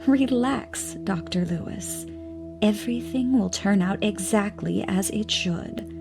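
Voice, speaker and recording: A woman's voice: mid-range voice, takes it slowly, vocal fry. An actress playing a teasing, self-assured woman scientist in an audio drama, breezy and sardonic. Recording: music under the voice.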